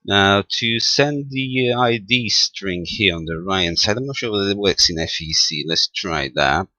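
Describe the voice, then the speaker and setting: A man giving an informal technical demonstration as a presenter, halting and thinking aloud as he goes. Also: on the low side, lively intonation, sharp tone, no echo. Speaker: amateur radio tutorial presenter. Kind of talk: informal spoken software demonstration.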